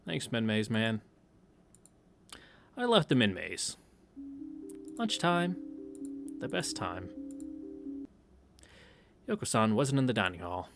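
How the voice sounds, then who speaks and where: A man's voice: fairly low pitch, big pitch swings, slow, creaky, pauses often. A man hosting a visual novel read-through, mixing casual, rambling commentary with reading aloud. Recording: game music under the voice. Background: game background music.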